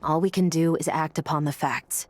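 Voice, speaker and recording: A woman's voice: deep voice, steady tone, normal speed. A woman playing a stern, dutiful patrol officer in a video game, firm and businesslike. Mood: matter-of-fact. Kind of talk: video game character acting.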